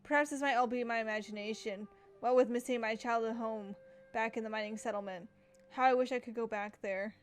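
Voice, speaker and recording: A woman's voice: on the high side, steady tone, a little fast, smooth. Chatty young woman gaming streamer. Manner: chatty, giggly, filler-heavy. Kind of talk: casual game commentary and reading aloud.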